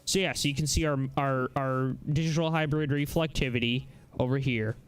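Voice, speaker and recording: A man hosting a casual live software demonstration, talking haltingly as he thinks aloud. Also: mid-range voice, fairly even intonation, clear, resonant tone. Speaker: live stream host.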